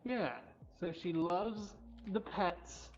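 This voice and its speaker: Low-voiced woman, casual livestream host. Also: very slow, darkish tone. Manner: informal, affectionate talk to animals. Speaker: cat cafe livestream host. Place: indoors in a cat cafe. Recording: dull livestream audio, music behind.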